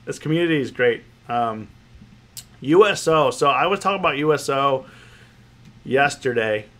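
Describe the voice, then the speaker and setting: A man's voice: mid-range voice, expressive, moderate pace, fairly bright tone. Male stream host, nasal from a head cold, loose casual patter that circles back on itself. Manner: rambling, repeats phrases, greets viewers by name. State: stuffy nose from a cold. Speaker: options trading livestream host. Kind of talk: casual livestream commentary, reading chat.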